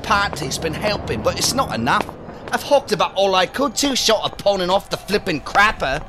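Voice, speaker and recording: A man's voice: sits high, sing-song, normal speed, over music. An actor in an audio drama playing a broke, foul-mouthed working-class British man who gripes in slang. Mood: bitter griping. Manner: slangy, griping.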